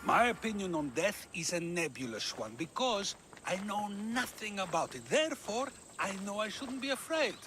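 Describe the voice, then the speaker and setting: An actor playing a wise, wry old philosopher in a video game cutscene, talking in a musing, questioning way. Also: male voice, high voice, big pitch swings, moderate pace, slight background noise, heavily acted. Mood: reflective. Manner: musing, questioning, gently teasing. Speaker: game character.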